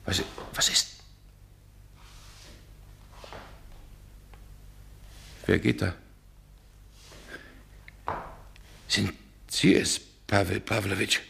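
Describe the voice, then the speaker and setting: Brusque deep-voiced gentleman. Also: very animated, moderate pace, gravelly, heavily acted. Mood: alarmed. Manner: brusque, imperious. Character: irritable, commanding 19th-century gentleman, nervous and domineering. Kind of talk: 1960s German radio drama acting.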